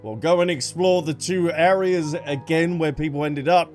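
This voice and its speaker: A man giving casual playthrough commentary as a game streamer, playful and jokey, reacting out loud. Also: on the high side, normal speed. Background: game music.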